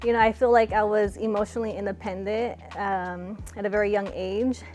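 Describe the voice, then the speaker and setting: A young woman from California answering interview questions in a relaxed, chatty way, with lots of fillers. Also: mid-range voice, fairly quick. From California, USA. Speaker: interviewee, fighter.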